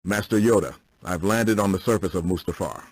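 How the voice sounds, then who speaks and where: A man's voice: deep voice, a little fast, lightly acted, rough recording. A man voicing a resolute, serious Jedi warrior in a video game cutscene. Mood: grave, uneasy.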